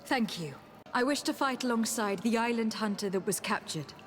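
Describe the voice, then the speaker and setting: Earnest young noblewoman played by an actress, fairly high. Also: normal speed, natural voice. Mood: resolute. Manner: formal, composed, earnest. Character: noble, earnest young female diplomat adventurer. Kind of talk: fantasy game dialogue. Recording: reverberant hall, music underneath.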